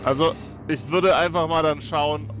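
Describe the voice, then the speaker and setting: A man's voice: fairly high pitch, steady tone, moderate pace. A man speaking casually as a player in a tabletop role-playing game. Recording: music playing behind the voice. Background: background music.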